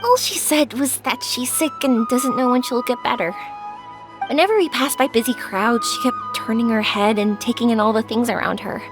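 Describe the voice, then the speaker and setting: A woman's voice: on the high side, normal speed, music in the background, close to an everyday voice. An actress voicing a cheerful, talkative young woman in an anime-style video game, kind and endlessly positive, with a chatty, encouraging manner. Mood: warm, reflective.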